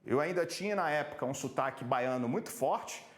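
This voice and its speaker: A man from Salvador, Brazil, gives a motivational talk on public speaking with a slightly high voice. He talks in a measured, emphatic way and slows down to stress his points. The room around him is noticeably echoey. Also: expressive.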